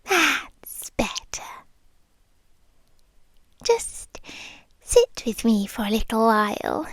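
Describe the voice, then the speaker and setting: Casting: woman to play a doting, possessive British female vampire lover for ASMR roleplay, high soft voice, extremely unhurried, often whispering. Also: big pitch swings, dry recording, studio quality, full character voice. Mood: coaxing, affectionate. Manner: slow, hushed, lingering, coaxing with soft pauses. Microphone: close microphone, dry.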